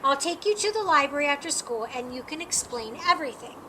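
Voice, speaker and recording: A woman's voice: high voice, lively intonation, brisk, bright, forceful tone. A woman voicing a caring, protective mother who is soothing but firm, in a children's storybook reading. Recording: clearly noisy background.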